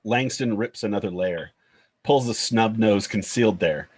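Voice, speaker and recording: A man's voice: lowish voice, moderate pace, slightly rough. A man reading screenplay stage directions as scripted narration.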